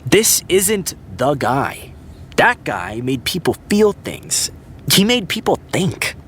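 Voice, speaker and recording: A man's voice: on the high side, sing-song, takes it slowly, a bit bright, slight background noise, full character voice. A man playing a cocky but earnest actor in his thirties, talking in a laid-back, swaggering way with some swearing. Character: male actor, charming, cocky, earnest friend. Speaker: actor in a fiction podcast. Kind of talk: audio drama acting.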